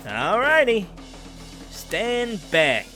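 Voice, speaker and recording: Man playing higher-voiced, animated, easygoing prisoner. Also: extremely slow, full character voice. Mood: alert. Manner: casual, wry. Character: easygoing, slightly sheepish male prisoner, escape partner. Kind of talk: audio drama acting. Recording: music behind the voice.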